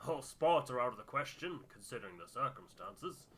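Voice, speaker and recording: A man's voice: medium pitch, lively intonation, fairly quick, a bit bright, breathy edge. A man voicing a jovial older expedition storyteller in a game, with a hearty, posh, wordy manner. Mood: hearty, chummy. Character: jovial older male expedition storyteller, old-fashioned gentlemanly chap.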